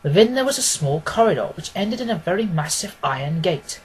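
A man's voice: sits high, very animated, fairly quick, slight background noise, poor audio. A man narrating a scripted story as an audiobook reader.

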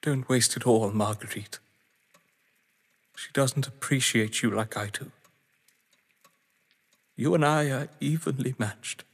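Casting: male actor playing bitter, possessive gamesman for audio drama; unhurried, theatrical speech in a long reverberant space. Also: medium pitch. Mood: desperate pleading. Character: bitter, possessive male crime-story lover, pleading then menacing. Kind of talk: dramatic stage-play acting.

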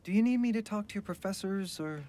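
A man playing an earnest middle-aged marine biologist father with a measured manner in an audio drama. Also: high-pitched, warm tone, close to an everyday voice. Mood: gentle. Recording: reverberant hall sound with music.

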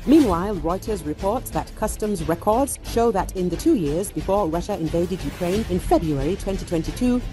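A woman reading a scripted news voice-over in an even, measured newsreader manner. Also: on the low side. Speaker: news narrator. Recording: music bed behind voice-over.

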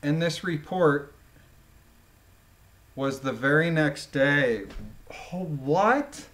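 Male amateur commentator, slightly higher pitch, reads documents aloud with informal, emphatic asides. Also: extremely slow, a bit bright. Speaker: commentator, former police officer. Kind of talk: reading a court transcript aloud with informal commentary.

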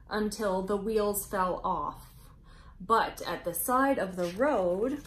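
A woman in her thirties reads a picture book aloud to young children as host, with expressive, drawn-out emphasis, recorded indoors on a camera mic. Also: mid-range voice, a little hiss or hum.

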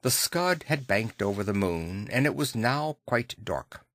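Low-voiced man narrating an audiobook. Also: lively intonation, measured pace, a bit bright, no echo, studio quality.

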